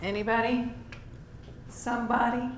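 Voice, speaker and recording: A hoarse woman leading a writing workshop, coaxing participants to read aloud and encouraging them. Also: a little high, little pitch movement, a little slow. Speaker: workshop leader. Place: library meeting room. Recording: echoey room with faint noise.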